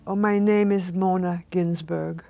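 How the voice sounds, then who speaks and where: A woman in her eighties from Austria, gently recalling her childhood with small restarts, for an oral history. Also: lowish voice, fairly even intonation, unhurried, vocal fry, no room sound, soft background music. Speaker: Holocaust survivor giving testimony.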